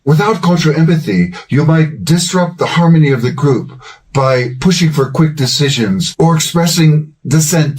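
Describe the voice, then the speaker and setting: An older man hosting a language-learning channel, giving scripted advice in a measured, earnest and encouraging way. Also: mid-range voice, a little mellow.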